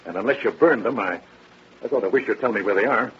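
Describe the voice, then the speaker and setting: An actor playing a shrewd, folksy police captain with a wry, needling, informal manner in a 1930s radio drama. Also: male voice, fairly low pitch, fast, slightly rough. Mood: coaxing. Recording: dull vintage radio broadcast.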